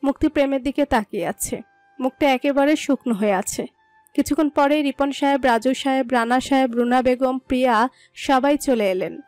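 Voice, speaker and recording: A woman's voice: high-pitched, normal speed, dry recording. A woman narrator reading a scripted romantic story. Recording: dry voice over soft music.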